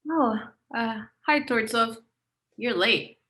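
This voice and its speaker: An actress plays an eager, flustered woman writer with a very high, bright voice. She is excitable and halting, feeling her way through thoughts as in a stage play reading. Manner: hesitant, excitable, searching for words. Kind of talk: stage play table read.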